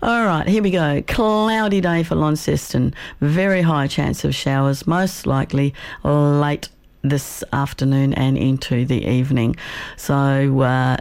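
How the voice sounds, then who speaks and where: A woman with an Australian accent presenting on community radio, relaxed and chatty, her voice croaky today. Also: deep voice. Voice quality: gravelly. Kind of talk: casual live radio links.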